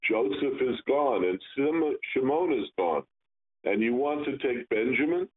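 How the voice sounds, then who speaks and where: A man's voice: mid-range voice, expressive, measured pace, natural voice. A man reading as a grieving old father. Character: grieving elderly father, anxious and protective. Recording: tinny phone-like radio sound.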